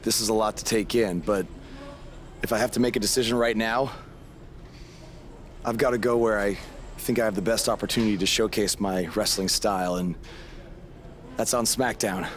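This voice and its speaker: A man plays a modest young rookie wrestler in a video game cutscene. His voice is on the low side, casual and unassuming, with faint noise behind it. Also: steady tone, normal speed, long pauses, natural voice. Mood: sincere.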